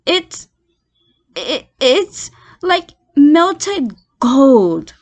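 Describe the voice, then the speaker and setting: Woman as a slow, jovial storybook badger. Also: high-pitched, very animated, pitched above the performer's own voice, full character voice. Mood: delighted.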